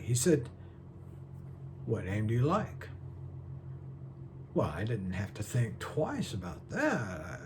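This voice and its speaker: A man's voice: fairly high pitch, a little mellow, a little hiss or hum, pitched above the performer's own voice, full character voice. A man performing a film monologue as a genial, dreamy small-town eccentric, folksy, courteous and hesitant as he meanders through his tale. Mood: genial, reminiscing.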